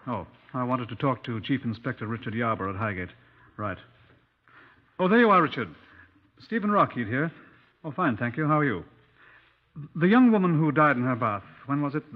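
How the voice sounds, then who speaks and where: A male actor plays a British police inspector of 1950s radio drama, brisk and dry-humoured. He speaks at a middling pitch with clipped, courteous, probing delivery. The old broadcast recording is dull and lo-fi. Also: close to an everyday voice. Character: brisk, dry-humoured Scotland Yard police inspector narrating his case.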